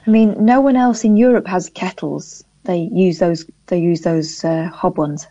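A woman's voice: fairly low pitch, a little slow, dark tone, dull audio. A woman co-hosting a radio show, chatting casually with dry, wry remarks. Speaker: radio co-host.

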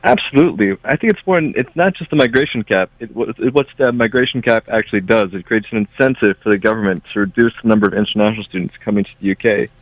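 A man's voice: fairly low pitch, fast talker, few pauses, no room sound. A man from Brazil who grew up in the United States, a student union officer, speaking earnestly in a radio interview. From Brazil, raised in the United States. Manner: earnest, emphatic. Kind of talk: radio interview over a line. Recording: dull lo-fi line.

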